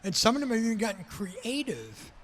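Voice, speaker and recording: A man's voice: high-pitched, huge pitch swings, brisk, fairly bright tone. A man talking casually as part of a podcast team.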